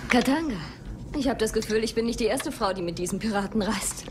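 A woman's voice: a little high, brisk, noisy background, natural voice. An actress playing a feisty, caring woman in a film dub, teasing and insistent. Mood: soft, teasing. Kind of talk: dubbed film acting.

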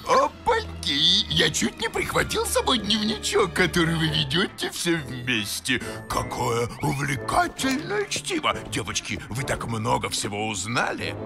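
A man's voice: on the high side, wildly swooping pitch, vocal fry, music in the background, heavily acted. A man voicing a mischievous, smug chaos spirit in a cartoon dub, theatrical, sly and mocking. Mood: mock-dramatic. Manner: theatrical, sly, mock-dramatic, drawn-out flourishes. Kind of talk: animated cartoon dub acting.

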